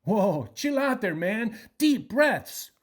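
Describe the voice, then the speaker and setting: A woman playing a friendly, easygoing aging hippie man in a radio play, husky and slangy. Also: on the high side, big pitch swings, slow, exaggerated voice. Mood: soothing.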